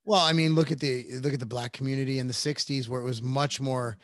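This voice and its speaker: A man's voice: mid-range voice, fairly even intonation, fast, dry recording. Blunt middle-aged male podcast host, mid voice, dry close mic, swears freely. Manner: blunt, emphatic, swears casually. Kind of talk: casual political podcast chat.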